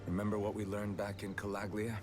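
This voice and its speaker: Game hero played by a man: deep, earnest young leader, steadfast and kind, clear music underneath. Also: completely flat delivery, fast talker, natural voice. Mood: earnest, reassuring. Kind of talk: dramatic video game voice acting.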